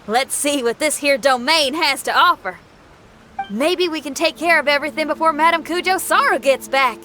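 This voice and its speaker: Bright, folksy young musician warrior. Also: female voice, high voice, normal speed, slight background noise. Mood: easygoing, resolute. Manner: folksy, bold, plain-spoken. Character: confident, free-spirited young female musician warrior. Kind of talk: video game voice acting.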